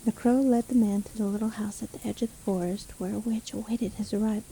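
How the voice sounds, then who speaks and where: A very soft-edged, dark voice over faint rain. A woman plays a solitary forest witch who seems kindly but is sly underneath. She coaxes gently and takes her time, in the manner of a fantasy storytelling roleplay. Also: medium pitch, steady tone, quick pace, slight background noise, natural voice, poor audio. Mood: hushed storytelling. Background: rain storm ambience.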